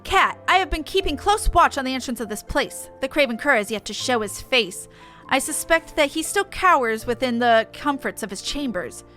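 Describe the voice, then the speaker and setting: A woman plays a proud, indignant fantasy-game warrior who declaims in archaic, formal speech. The voice is pitched high and pushed out forcefully, with faint music behind it. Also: lively intonation, normal speed. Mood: grim, impatient.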